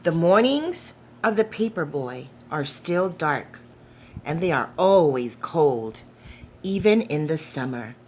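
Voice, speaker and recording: A woman reading a picture book aloud to young children in a gentle, deliberate, expressive way, with questions put to the listeners. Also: sits low, slight background noise. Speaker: storytime reader for preschoolers.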